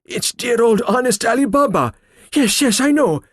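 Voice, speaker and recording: A man voicing an old male miser in an audiobook, crying out in breathy bursts and then dropping to a hush. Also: fairly low pitch, moderate pace, no echo, clean studio sound, heavily acted. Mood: ecstatic. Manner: excited exclamations swinging to hushed regret. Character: old male miser, swept up in childhood memories. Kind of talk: audiobook character voice.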